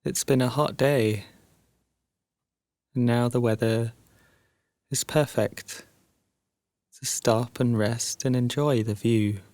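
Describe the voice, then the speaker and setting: A man guiding a sleep meditation as a narrated story, soothing and hushed, leaving long pauses between phrases. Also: mid-range voice, steady tone, no echo.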